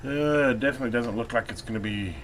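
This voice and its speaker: A man's voice: medium pitch, fast talker, fairly bright tone. A man giving casual hands-on repair commentary as a video host, thinking aloud while he works. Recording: clearly noisy hobbyist video recording.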